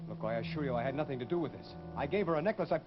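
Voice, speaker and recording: An actor playing a haunted, guilt-ridden gentleman losing his mind, intense and theatrical in old radio horror style. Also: medium pitch, expressive, very fast, noisy background, lightly acted. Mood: flustered, pleading. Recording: muffled old radio recording, loud music behind.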